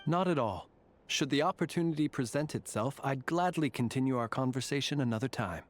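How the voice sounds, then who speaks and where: A man voicing a courteous, eccentric graveyard warden in a video game, speaking in a formal, measured, genteel way. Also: medium pitch, faint music behind, close to an everyday voice. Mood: wry, courteous. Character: courteous, eccentric gentleman graveyard warden, calm and faintly eerie. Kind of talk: video game character dialogue.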